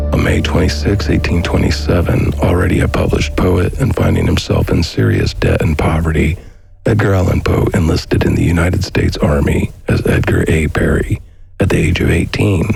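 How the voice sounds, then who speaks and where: A man reading scripted documentary narration in a measured, formal manner. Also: sits low, vocal fry. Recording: faint music behind the voice. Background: faint background music.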